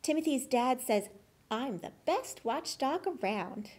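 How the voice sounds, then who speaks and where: A dutiful girl collie, a farm watchdog puppet acted by a woman, speaks in a high voice at a slow pace. She is earnest and matter-of-fact, made for a children's puppet show. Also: lively intonation, darkish tone.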